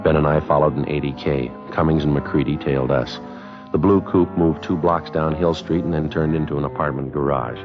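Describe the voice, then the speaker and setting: An American man playing a terse, unflappable police detective, narrating in clipped, deadpan 1950s radio drama style. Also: rumbling low, a little fast. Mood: deadpan, factual. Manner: deadpan, matter-of-fact. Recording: muffled 1950s radio broadcast with music.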